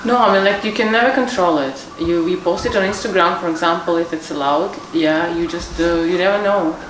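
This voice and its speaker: A woman's voice: lowish voice, a little fast. A woman chatting casually as a panel guest, in loose, informal, repetitive phrasing. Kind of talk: casual unscripted chat. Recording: echoey room, distant mic, faint noise.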